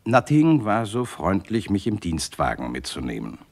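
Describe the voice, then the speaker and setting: Gentleman narrator, very low voice, formal, precise, deliberate, radio crime story. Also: huge pitch swings, vocal fry, exaggerated voice. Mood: dry, recounting. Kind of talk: scripted first-person narration, radio crime play.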